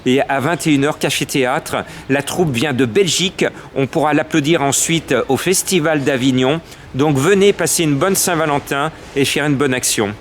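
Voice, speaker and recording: A man's voice: a little high, moderate pace, slight background noise. A man organizing a theatre event, speaking in an upbeat, inviting promotional interview.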